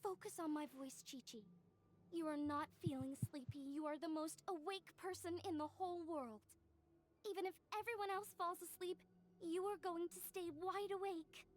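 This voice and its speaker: Very high-voiced, coaxing game girl. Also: fairly even intonation, normal speed. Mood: insistent, coaxing. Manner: insistent, coaxing. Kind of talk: anime-style video game voice acting. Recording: very quiet game audio, faint noise.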